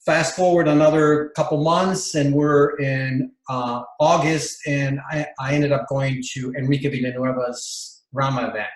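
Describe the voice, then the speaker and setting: Interview guest, Bolivian-born man, mid pitch, halting delivery with lots of restarts, echoey room. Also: level tone. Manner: rambling, many fillers and restarts. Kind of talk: casual storytelling interview.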